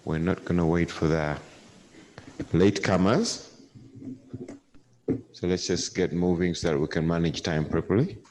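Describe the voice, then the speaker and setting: Deep-voiced man moderating a panel. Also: steady tone, a little mellow, a little hiss or hum. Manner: brisk and jokey, repeats words. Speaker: session moderator. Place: conference hall.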